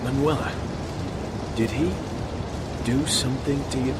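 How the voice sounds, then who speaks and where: A man's voice: medium pitch, fairly even intonation, unhurried, heavy background noise, close to an everyday voice. Serious young male agent, voiced by an actor. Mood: concerned. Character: young male agent, protective, focused and steady under pressure. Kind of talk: video game cutscene acting.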